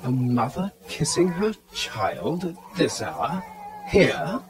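A man playing a grieving, disbelieving Victorian gentleman in an audio drama, halting and melodramatic. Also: on the high side, big pitch swings, crowd noise. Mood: hushed wonder. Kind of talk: dramatized audio play acting.

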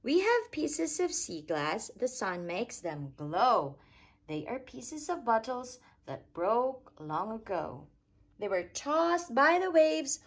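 Husky woman reading slowly, very lively pitch. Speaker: storytime reader. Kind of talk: read-aloud of a rhyming children's picture book.

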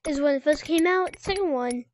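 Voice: high voice, fairly quick, slight background noise. A player chatting casually in a VR game, with playful, exclamatory role-play. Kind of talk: casual chat while playing a VR game.

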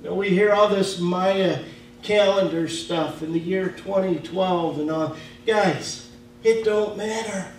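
Slow, emphatic Midwestern pastor in echoey church. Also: male voice, high-pitched. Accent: Midwestern American. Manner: slow, emphatic preaching with casual grammar. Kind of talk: sermon. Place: church sanctuary. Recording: echoey church from far off, faint hum.

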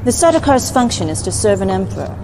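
A woman's voice: mid-range voice, sing-song, fast, background noise. A young woman playing a cold, imperious adviser to a ruthless house, speaking in a clipped, formal, commanding way. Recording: music bed behind the voice.

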